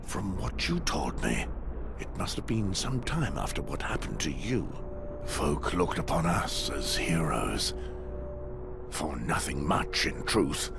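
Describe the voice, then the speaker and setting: An actor playing a gruff, world-weary, defiant warrior in captivity in a fantasy video game, terse and brooding, with a gravelly voice. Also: male voice, low-pitched, full character voice. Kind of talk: dramatic video game voice acting.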